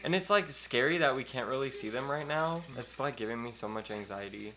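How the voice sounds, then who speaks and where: A man's voice: mid-range voice, fairly quick, crowd noise. A young man, co-hosting a film reaction in a casual, campy and sassy way, swearing and exclaiming. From Texas. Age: young adult. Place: home room with bookshelves, indoors.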